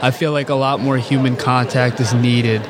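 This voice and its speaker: A young premed man answering thoughtfully and haltingly in an outdoor interview. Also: mid-range voice, flat delivery. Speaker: premed student. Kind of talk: vox pop interview. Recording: loud outdoor crowd noise. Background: loud crowd chatter.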